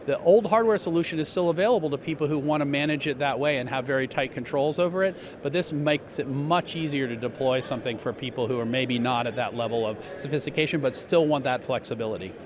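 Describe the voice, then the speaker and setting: A man giving a measured, technical explanation as a company spokesman in a trade show interview. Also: mid-range voice, crowd noise. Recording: noisy trade show floor.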